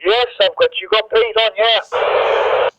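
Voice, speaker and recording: A man's voice: high voice, steady tone, takes it slowly, heavy background noise. Muffled man over CB radio. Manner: informal, uses radio slang. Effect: CB radio transmission. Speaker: CB radio hobbyist. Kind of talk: casual radio chat.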